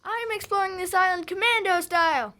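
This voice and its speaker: A man's voice: very high-pitched, a little fast, pushed and bright. A man playing an eager, curious young boy adventurer in a scripted audio drama, with a wide-eyed, exclamatory manner. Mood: excited.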